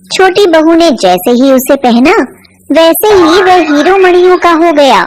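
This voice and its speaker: Slow, high-pitched woman storyteller. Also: animated, background noise. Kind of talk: scripted folk-tale narration for cartoon.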